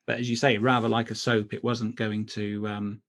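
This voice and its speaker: Middle-aged man in thoughtful, halting conversation. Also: on the low side, flat delivery. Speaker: writer, interview guest. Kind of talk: casual craft discussion.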